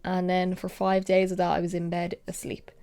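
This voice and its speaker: A woman's voice: fairly low pitch, monotone, moderate pace, clean tone. Chatty young woman with a stuffy nose. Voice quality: slightly nasal and sniffly. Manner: chatty, drops to soft whispers now and then. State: congested, recovering from a cold. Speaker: makeup vlogger. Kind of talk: casual makeup tutorial chat.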